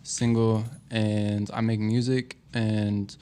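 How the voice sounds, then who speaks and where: A man's voice: lowish voice, flat delivery, takes it slowly, dark tone, a little hiss or hum. A man of twenty-one introducing himself casually as a panel guest. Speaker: podcast panelist.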